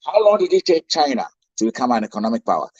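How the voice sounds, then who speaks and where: A man's voice: mid-range voice, sing-song, quick pace, darkish tone, slightly rough, no echo, highs cut off. A man from Ghana with a Ghanaian accent, giving a rousing speech as a guest speaker, full of rhetorical questions and repetition. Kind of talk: impassioned speech.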